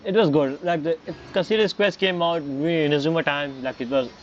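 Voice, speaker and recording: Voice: mid-range voice, fairly quick, slight background noise. A streamer giving casual, chatty commentary while playing a video game. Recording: slightly muffled, game music behind. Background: game music.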